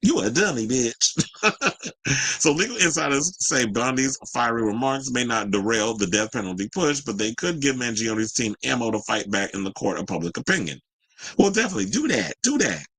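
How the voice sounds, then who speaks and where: A man's voice: medium pitch, sing-song, moderate pace, a bit bright, breathy edge, rough recording. An American man hosting a news and gossip show, reading stories and adding blunt, profane, sassy asides in colloquial speech.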